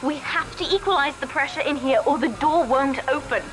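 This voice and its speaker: Game role: woman playing devoted teenage daughter with cold resolve. Youthful higher voice, deliberate and earnest, scored with prominent music. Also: close to an everyday voice. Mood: urgent, alarmed. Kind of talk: dramatic video game acting.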